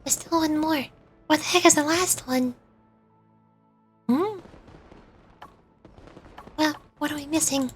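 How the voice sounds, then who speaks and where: A woman's voice: sits high, slow pace, pauses often, soft background music. A woman streaming a video game, giving casual commentary and thinking aloud in short bursts.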